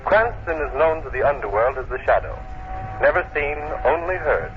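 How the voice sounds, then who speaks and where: A man narrating as a solemn announcer of an old radio serial, measured and declamatory. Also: fairly high pitch, animated, over music, close to an everyday voice. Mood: solemn, dramatic. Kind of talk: 1940s radio scripted narration. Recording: dull old radio recording, faint noise.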